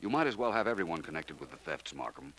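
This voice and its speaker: An actor playing a suave, calmly superior gentleman detective in a 1940s radio drama, smooth and unhurried. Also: very deep voice, a little hiss or hum, exaggerated voice. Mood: confident. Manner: smooth, unhurried, lightly condescending.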